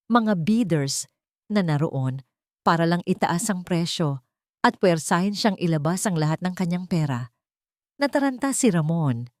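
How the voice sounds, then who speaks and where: A woman's voice: fairly low pitch, darkish tone, no echo, studio quality. A woman reading scripted narration in a dramatic storytelling style.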